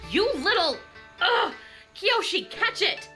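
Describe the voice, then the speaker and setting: A woman playing a bossy, hot-tempered young bodyguard in a visual novel, with a sharp, scolding manner. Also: extremely high pitch, big pitch swings, slow, exaggerated voice. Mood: exasperated. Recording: music behind the voice.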